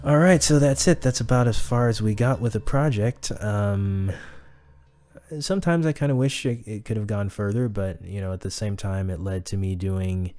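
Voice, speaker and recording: A man's voice: lowish voice, normal speed, a little mellow, dry recording, soft background music. A man speaking as a director, giving casual, reflective commentary in an informal way. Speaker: audio drama director.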